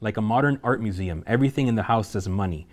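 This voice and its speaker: Guy w a lowish voice reading out screenplay action lines, table read narrator type thing. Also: fast.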